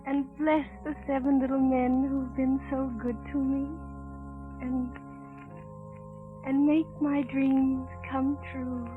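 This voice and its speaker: A woman playing a sweet, innocent young princess in a 1930s radio drama, speaking gently and earnestly. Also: high voice, little pitch movement, slow pace, over music. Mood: earnest, hopeful. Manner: earnest, gentle, childlike praying. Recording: muffled vintage 1930s radio broadcast.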